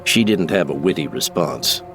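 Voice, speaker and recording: A man's voice: very deep voice, animated, moderate pace, over music. A man giving scripted, dramatic narration of science fiction as an audiobook narrator.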